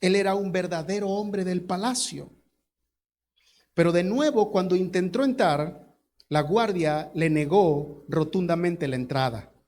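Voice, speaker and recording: Slow, emphatic male preacher. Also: high-pitched, darkish tone. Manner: dramatic, emphatic storytelling. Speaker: pastor. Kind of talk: sermon.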